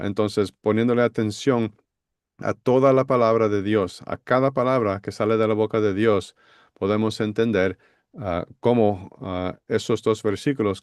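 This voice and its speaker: A man's voice: lowish voice, fairly even intonation, unhurried, a little mellow, no echo, clean studio sound. A man explaining Bible passages on a podcast, hesitant with many fillers. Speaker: Bible teacher.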